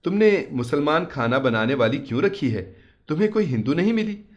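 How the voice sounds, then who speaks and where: A man's voice: fairly high pitch, very animated, brisk, highs cut off. A man voicing a concerned young woman doctor with a direct, questioning manner. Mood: puzzled questioning.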